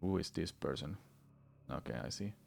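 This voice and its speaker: A man's voice: low voice, normal speed, creaky, a little mellow, slight background noise. A man from Finland with a Finnish accent, chatting casually with his viewers during a game playthrough, rambling and swearing freely. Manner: rambling, frank, swears freely, many restarts. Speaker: gaming streamer.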